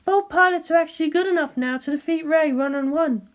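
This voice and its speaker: Woman as a high, composed female scientist. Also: natural voice. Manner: measured, formal, sceptical.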